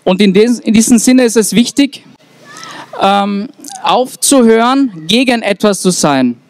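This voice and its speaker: A young man giving an earnest, halting speech into a handheld mic on an outdoor town square. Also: high-pitched, animated. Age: young adult. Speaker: speaker at a rally. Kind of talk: public speech.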